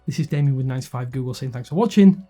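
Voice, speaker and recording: A man's voice: mid-range voice, fast, dark tone. A man hosting and narrating a scripted tech review. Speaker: tech reviewer and video host. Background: background music.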